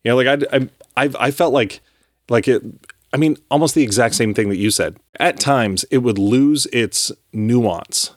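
A man's voice: medium pitch, moderate pace, dry recording, studio quality. A man co-hosting a podcast in casual chat, rambling with restarts and casual swearing. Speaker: podcast co-host.

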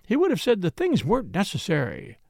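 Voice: mid-range voice, wildly swooping pitch, a little fast, vocal fry, darkish tone, no echo, clean studio sound, voice pushed up, exaggerated voice. A reader voicing a fussy, tearful lady who talks in a fluttery, rambling, self-pitying way. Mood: aggrieved. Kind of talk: audiobook character reading.

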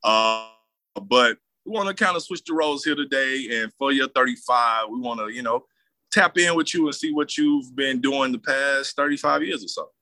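A man interviewing a friend in a casual, hyped, slangy way, with loud exclamations. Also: mid-range voice, normal speed, poor audio. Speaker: guest interviewer.

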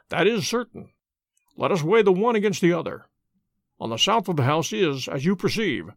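A keen, methodical detective, as a male reader plays him reasons aloud step by step in a measured, deliberate way. His voice sits high and rises and falls with animation. The recording is dry and close. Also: gravelly, no room sound, full character voice.

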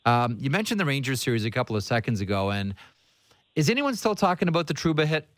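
A man's voice: mid-range voice, sing-song, fast talker, a bit bright, no echo. A man hosting a sports talk show, speaking casually and conversationally about hockey, with blunt asides.

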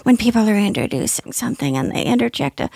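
A woman improvising a hesitant, meek wife with a raspy voice. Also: a little high, big pitch swings, fast, a little mellow, no room sound, clean studio sound, full character voice. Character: timid, forgetful wife.

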